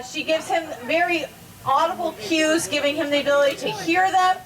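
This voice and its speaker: A woman's voice: extremely high pitch, fairly bright tone, distant mic, low-quality recording. A woman giving a live, informal educational animal presentation, explaining things directly to onlookers. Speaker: zoo animal show presenter.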